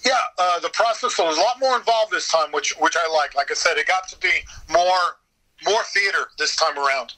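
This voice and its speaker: A man chatting casually as an interview guest, telling stories in an animated way. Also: on the high side, normal speed, low-quality recording. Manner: animated storyteller, slips into acting out bits. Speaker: interview guest, radio theater voice actor. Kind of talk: casual anecdotal interview chat.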